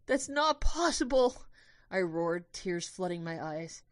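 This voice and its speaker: Audio drama lead, played by a woman: anguished, devoted young storyteller, lower register, intense and expressive, dry sound. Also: slow pace, dry recording. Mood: anguished, desperate. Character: anguished, devoted young human narrator named Lucas. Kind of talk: dramatic first-person audio drama narration.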